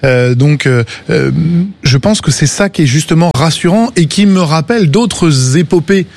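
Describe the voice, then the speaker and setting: A man giving football opinions on a radio debate, animated and joking, full of hesitations. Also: a little high, moderate pace, no room sound. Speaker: football pundit.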